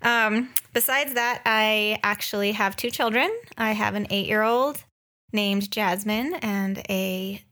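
A woman in her thirties co-hosts a podcast in a casual, conversational way. Her voice sits at a middle pitch. She talks informally about herself, with a joking, self-deprecating manner. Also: steady tone, slow, bright, forceful tone. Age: 30s. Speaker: podcast co-host and graphic designer. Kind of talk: casual chat introducing herself.

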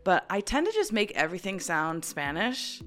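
A woman's voice: lowish voice, very animated, normal speed, a bit bright, faint music behind. A woman co-hosting a history podcast, chatting casually with a friend in a bubbly, giggly way. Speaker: podcast co-host.

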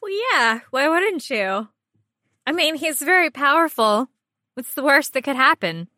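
A woman's voice: high voice, very animated, a little slow, pushed and bright, no echo, studio quality. A woman co-hosting a podcast with casual, comedic banter in a playful, jokey manner. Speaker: podcast co-host.

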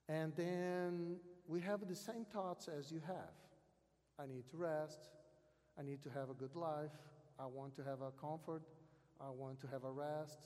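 Slow-speaking South American preacher in echoing hall. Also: male voice, a little high, mellow. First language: not English. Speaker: missionary preacher, guest speaker at a conference. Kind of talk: sermon. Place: large hall or church, speaking to an audience. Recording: long hall reverb over PA system.

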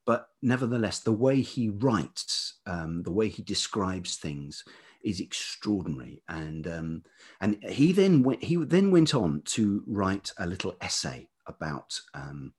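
A man with a velvety voice talking reflectively as an interview guest, thoughtful and halting, often pausing mid-phrase. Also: low-pitched, very animated, darkish tone, slightly rough. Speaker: podcast guest, writer and life writing teacher.

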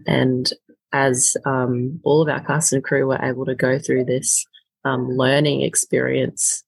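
A woman speaks in a husky voice with a gravelly edge. She talks in a halting, reflective way, filling gaps with soft pauses. The sound is close and dry with no room around it. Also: a bass voice, no echo, rough recording. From Australia. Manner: thoughtful, halting, with many filler pauses. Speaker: actor interviewed as a guest. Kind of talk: casual reflective interview talk.

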